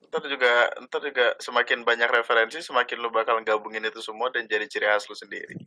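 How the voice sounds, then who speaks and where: Bright-voiced laid-back young man. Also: mid-range voice, flat delivery, fast talker. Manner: laid-back, jokey, says "santai" a lot. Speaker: podcast host. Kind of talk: casual chat.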